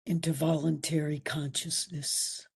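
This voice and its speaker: Very slow, breathy woman guiding meditation. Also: sits low, level tone. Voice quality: breathy, at times near a whisper. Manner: soothing, deliberate phrasing with long pauses. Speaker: meditation instructor. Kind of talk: guided meditation.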